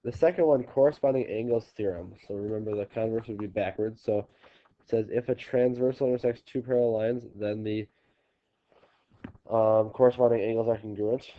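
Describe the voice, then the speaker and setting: Stuffy-nosed male teacher, lowish voice, halting explanations, dull home recording. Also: fairly even intonation. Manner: halting, restarting explanations with many ums. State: congested, stuffy nose. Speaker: geometry teacher. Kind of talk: recorded classroom video lesson.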